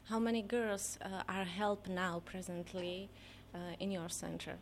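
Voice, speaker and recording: A woman's voice: medium pitch, steady tone, unhurried, slight background noise. A woman interviewer with a non-native English accent, putting questions to her guests.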